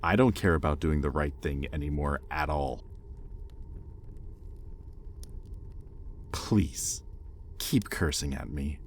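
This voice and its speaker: A man playing a possessive, conflicted demon in an intimate audio roleplay, with halting, sardonic delivery and secret tenderness. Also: extremely low pitch, slight background noise. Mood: possessive, intense. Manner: intimate, halting, sardonic, with sighs and muttered curses. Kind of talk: dramatic audio roleplay addressed to the listener.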